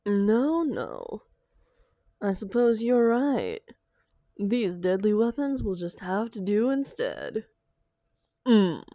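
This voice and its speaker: A woman's voice: mid-range voice, very animated, slow pace, long pauses, mellow, dry recording. A woman playing a theatrical female supervillain and doting, grumbling lover in a scripted audio roleplay. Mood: reluctant, fond. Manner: theatrical, affectionate pet names, exasperated protests.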